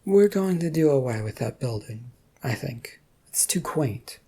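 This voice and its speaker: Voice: mid-range voice, animated, measured pace, warm tone, breathy edge. A reader as a blunt, curious young woman.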